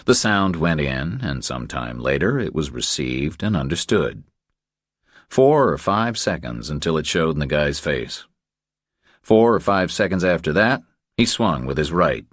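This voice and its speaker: A man narrates a thriller audiobook in an extremely low voice. His intonation moves in a lively way, and the sound is dry and close, with no room around it. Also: a little slow, dry recording.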